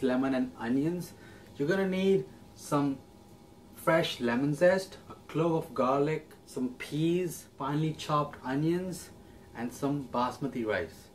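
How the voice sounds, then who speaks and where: A man's voice: fairly high pitch, slight background noise. A young man hosting a cooking channel, walking through a recipe step by step in a friendly, upbeat way in a small home kitchen. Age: young adult.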